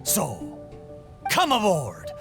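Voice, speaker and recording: Boastful sailing-ship character, hugely animated, unhurried, big-hearted old salt, game dialogue, faint music. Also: male voice, fairly high pitch, gravelly, full character voice. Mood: boastful, cheerful. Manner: hearty nautical dialect, theatrical flourishes. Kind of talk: video game character acting.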